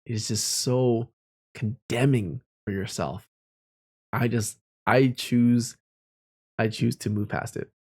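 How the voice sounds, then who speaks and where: A man's voice: mid-range voice, steady tone, takes it slowly, long pauses, darkish tone. A young man telling personal stories in a casual, candid and reflective way, speaking informally. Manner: candid, informal, with mild swearing.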